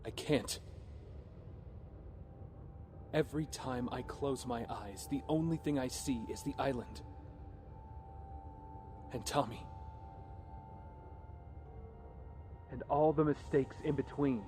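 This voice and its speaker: A man's voice: mid-range voice, moderate pace, music in the background, lightly acted. An actor playing an earnest, guilt-ridden young male hero in an audio drama, pleading emphatically. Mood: somber.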